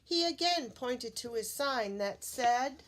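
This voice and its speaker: Woman reading a storybook, slow and expressive. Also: a little high. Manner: expressive, addresses children, puts on character voices. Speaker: storybook reader. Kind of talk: animated children's read-aloud.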